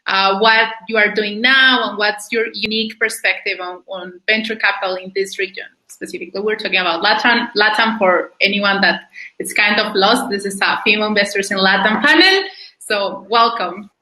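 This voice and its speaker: Bright upbeat woman moderator, echoey room. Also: medium pitch, normal speed. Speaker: panel moderator. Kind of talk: moderating a video panel.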